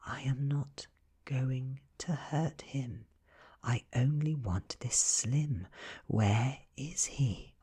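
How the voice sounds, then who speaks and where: A man with a British accent voicing an ancient female vampire from a horror audiobook, gentle, coaxing and coldly menacing. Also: medium pitch, very slow, husky, voice pushed up, full character voice. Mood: soft, falsely kind. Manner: soft, coaxing, whispery. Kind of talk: ASMR audiobook reading.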